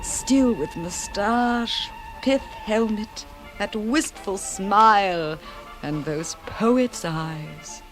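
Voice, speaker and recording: An actress playing an older, wistful aristocratic widow in a radio drama, telling her story in a slow, dramatic way. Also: mid-range voice, over music. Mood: wistful, romantic.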